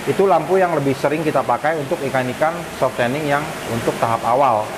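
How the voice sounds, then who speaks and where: Male vlogger, medium-pitched, informal explainer talking to viewers as friends, noticeable hiss behind. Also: quick pace. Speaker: arowana fish keeper and vlogger. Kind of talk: casual explanatory vlog talk. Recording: clear hissy background noise. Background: steady hiss.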